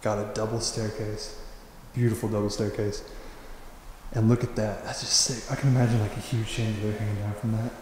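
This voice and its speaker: A man's voice: fairly low pitch, a little fast. A young man vloggs his way through an unfinished mansion, talking loosely and laughing as he goes. His voice rings around bare, echoing rooms with a long tail of reverb. Faint noise sits underneath. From Oklahoma. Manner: excited, slangy, laughs often. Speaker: urban explorer vlogger. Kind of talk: casual walkthrough narration. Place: large empty unfinished house, echoing rooms. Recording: very echoey empty rooms.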